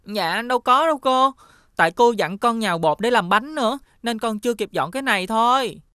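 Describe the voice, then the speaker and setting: A woman voicing a hungry young runaway boy in an animated folk tale, childlike and pleading, with a Southern Vietnamese accent. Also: a little high, brisk, no echo, studio quality. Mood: frightened. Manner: pleading, childlike, polite to elders.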